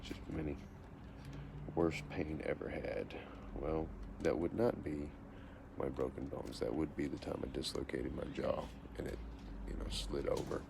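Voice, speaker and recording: Man, 40s, deep voice. Reads questions and answers them matter-of-factly. Casual self-interview, noisy recording. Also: measured pace, vocal fry. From Texas, USA. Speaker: vlogger answering a question tag.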